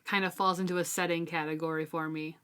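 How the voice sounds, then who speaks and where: A woman talking through a book with her co-host in a loose, chatty way, laughing often. Her voice sits low, with a slight roughness from a cold she is still getting over. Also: flat delivery, fast, fairly bright tone. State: recovering from a cold. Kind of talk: casual book discussion.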